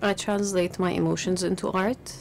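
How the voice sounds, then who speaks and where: A young woman from Palestine, a makeup artist and activist, speaking earnestly and in a measured way, with thoughtful pauses, in a documentary interview. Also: on the low side, steady tone, creaky, slight background noise.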